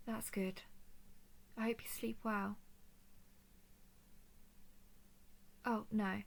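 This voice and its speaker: Noble dragon lady, played by a woman: solemn, earnest, soft-spoken, flat melody, touch of creak, intimate roleplay monologue. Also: medium pitch, lightly acted. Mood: tender, soothed. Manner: formal, measured, earnest speech. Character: noble female dragon of a ruling house, gentle, solemn.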